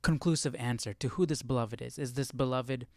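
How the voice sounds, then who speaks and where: A man's voice: medium pitch, fairly quick, no echo, clean studio sound. A man hosting a casual live study session, thinking aloud in a tentative, questioning way. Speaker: livestream Bible study host.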